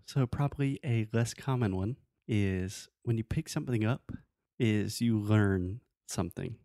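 Relaxed male English teacher, dry sound. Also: lowish voice, measured pace, dry recording. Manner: relaxed, teasing, explains patiently with examples. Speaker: podcast co-host, English teacher. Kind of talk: casual teaching chat.

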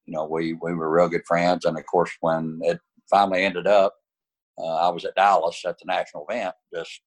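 A man's voice: low voice, husky, rough recording. An older man, a racing champion guest, answering interview questions in a folksy way, dropping "you know" often. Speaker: guest racer.

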